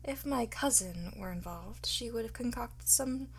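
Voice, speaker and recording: A woman playing a cocky, flirtatious art thief in an ASMR roleplay, teasing and smug, often slipping into breathy whispers. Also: a little high, a little slow, slight background noise. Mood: boastful, theatrical. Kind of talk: ASMR roleplay, one-sided acted dialogue.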